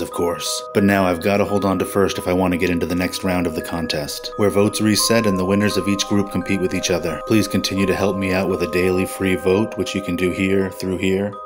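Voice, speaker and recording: Low, even-toned man over loud music. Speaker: paranormal video host. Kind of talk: casual explainer commentary to camera. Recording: music playing behind the voice. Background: loud background music.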